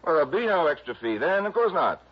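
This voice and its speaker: An actor playing a fussy, tight-fisted insurance executive in an early 1960s radio drama, insistent and businesslike. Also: male voice, fairly high pitch, sing-song, fast, husky. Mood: grudging, bargaining. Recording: muffled vintage radio recording.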